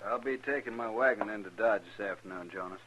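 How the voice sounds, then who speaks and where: An actor playing a surly, guarded wagon driver in a 1950s radio western, curt and suspicious. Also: male voice, fairly low pitch, fast, natural voice. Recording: dull, noisy vintage radio recording.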